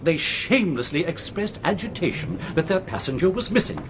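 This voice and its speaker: Animated, pompous prosecuting barrister. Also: male voice, fairly high pitch, fairly quick, over music. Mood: triumphant. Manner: pointed, pompous, insistent. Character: forceful, theatrical male prosecuting barrister. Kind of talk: period courtroom radio drama.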